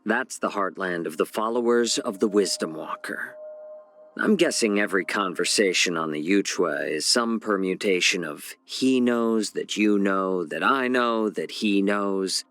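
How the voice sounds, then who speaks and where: An actor playing a calm, wry, courteous male healer and strategist in a video game, with a measured, genteel, gently teasing delivery. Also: lowish voice, soft background music. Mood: reflective, measured. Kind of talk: scripted video game character voice lines.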